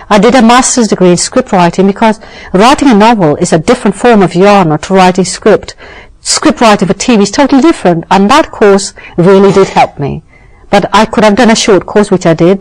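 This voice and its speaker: A woman's voice: mid-range voice, lively intonation, fairly quick, darkish tone, poor audio. A British woman, a novelist and scriptwriter, answering interview questions in a thoughtful, emphatic way with frequent self-corrections. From Britain.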